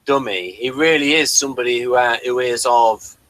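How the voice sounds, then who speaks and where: A man's voice: medium pitch, moderate pace, a bit bright, no echo, poor audio. A man hosting a casual review discussion of a TV series, emphatic and given to repeating his words.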